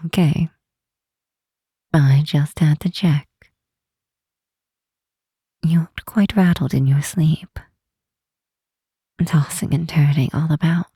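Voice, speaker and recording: A woman voicing a protective, commanding yet tender Demon Queen in an ASMR roleplay, speaking softly and breathily, often whispering, in a soothing, gently insistent way. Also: low voice, steady tone, slow, long pauses, slightly rough, no room sound, studio quality, full character voice. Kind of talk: ASMR roleplay, intimate comforting monologue.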